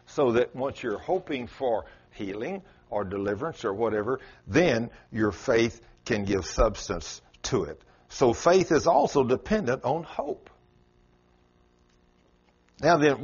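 A man with a South Texas drawl preaches in a plain, folksy style. His pitch rises and falls widely for emphasis, and his voice has a husky edge. The recording sounds dull and muffled. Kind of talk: sermon.

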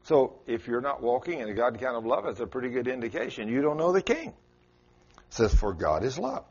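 A man's voice: big pitch swings, a little fast, a little mellow, husky, lo-fi sound. A man with a South Texas accent preaching a sermon in a folksy, emphatic way. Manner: folksy, emphatic, swings pitch up for stress.

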